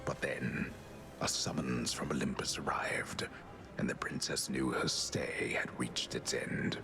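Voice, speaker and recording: An actor playing a solemn old mythic storyteller, narrating a video game in a hushed, gravelly, deliberate voice. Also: male voice, very deep voice, little pitch movement, in a whisper, music in the background, full character voice.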